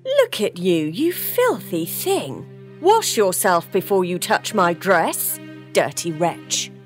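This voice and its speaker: A woman's voice: fairly low pitch, very animated, slow pace, faint music behind. A woman voicing a spiteful, vain young stepsister in a children's fairy tale cartoon, snobbish and sneering. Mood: scornful.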